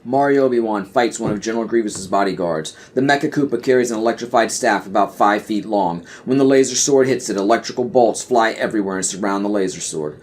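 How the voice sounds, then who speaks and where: A man's voice: on the low side, fast, few pauses. A man narrating an audio play in a scripted, screenplay-reading style.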